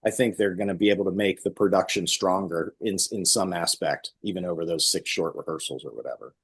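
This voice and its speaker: A man's voice: deep voice, a little fast, breathy edge. A man talking casually as an interview guest, explaining things in a conversational way and illustrating points with imagined remarks. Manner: explanatory, illustrating with acted-out quotes. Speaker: stage actor and guest.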